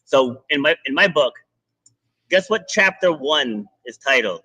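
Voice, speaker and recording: A man hosting a podcast, a fitness coach chatting casually and telling stories in an animated way. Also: on the high side, a little slow, breathy edge, no echo, poor audio. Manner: animated storytelling, many restarts. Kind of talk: casual interview chat.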